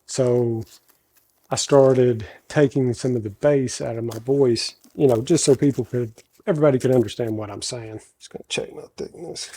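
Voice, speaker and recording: A deep, mellow-voiced man with a country American accent walks through a hands-on workshop build, muttering and correcting himself as he goes. A slight cold roughens his speech. His tone is warm, low and unhurried. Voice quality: naturally very deep voice. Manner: talks to himself while working, self-correcting. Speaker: host showing a woodworking project. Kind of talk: casual workshop tutorial, thinking aloud.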